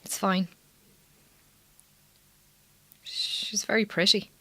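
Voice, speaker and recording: An actress playing a weary, guarded Irish farmer's wife in a radio drama, strained and terse, with an Irish accent. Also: female voice, mid-range voice, steady tone, unhurried, pushed and bright, no echo. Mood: suspicious. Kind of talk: naturalistic radio drama dialogue.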